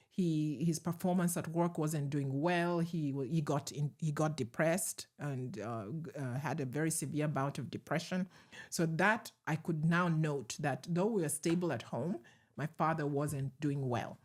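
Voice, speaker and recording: A middle-aged woman with a Ugandan accent speaks in a low register, telling her story in a measured, reflective way. She lets soft laughs slip in as she talks, answering questions as an interview guest.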